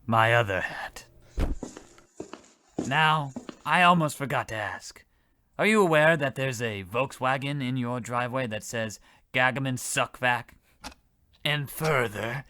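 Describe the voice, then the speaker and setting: An actor playing a blundering, self-important, freeloading cop in an old-time radio comedy, rambling in a folksy, oblivious way. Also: male voice, mid-range voice, measured pace, bright, forceful tone. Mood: nosy.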